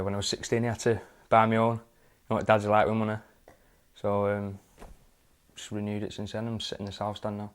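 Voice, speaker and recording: A young man talking casually as a fan, relaxed and hesitant with fillers. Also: on the low side. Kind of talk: casual interview.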